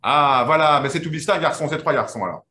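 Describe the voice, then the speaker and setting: A man's voice: a little high, fast talker, bright, forceful tone, roomy sound. A man hosting an online quiz final, interviewing the winners in a friendly, hesitant way with many fillers. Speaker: host.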